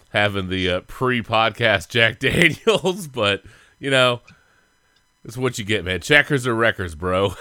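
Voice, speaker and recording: A man's voice: fairly low pitch, sharp tone, no room sound, studio quality. Joking man hosting a podcast. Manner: laughing, joking banter. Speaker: podcast host. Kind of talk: casual podcast chat over beers. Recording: music playing behind.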